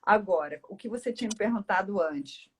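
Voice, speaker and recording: A woman's voice: medium pitch, animated, brisk, slight background noise. A woman from Northeast Brazil with a Brazilian accent, talking at length in a casual, explanatory conversation as a speech therapist. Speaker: speech therapist, interview guest.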